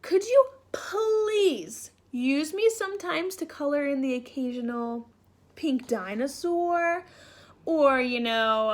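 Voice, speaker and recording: A woman voicing a sassy, fed-up pink crayon from a children's storybook, indignant and demanding. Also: high-pitched. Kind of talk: children's storybook read-aloud.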